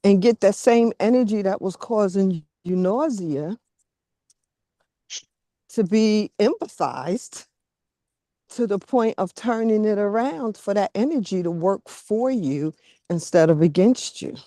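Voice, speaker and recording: A woman with a mellow voice talks slowly in short, earnest phrases, often pausing mid-thought. Her pitch moves in a lively way through a casual, reflective chat. The sound is close and dry. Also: no room sound.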